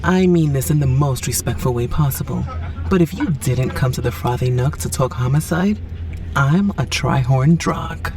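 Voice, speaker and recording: A man's voice: mid-range voice, normal speed, a little mellow, a little hiss or hum, close to an everyday voice. Man as a courtly, affectionate, distant-sounding companion. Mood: politely teasing. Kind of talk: scripted sci-fi audio drama.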